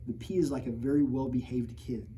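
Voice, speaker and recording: A man reads his own children's book aloud at storytime and keeps stopping for jokey asides, pretending to be outraged by his own plot. His voice is mellow and relaxed. A faint noise sits under the recording. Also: medium pitch, brisk, rough recording. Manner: playful, jokey, mock-outraged, talking straight to children. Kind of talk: storytime read-aloud with playful asides to kids.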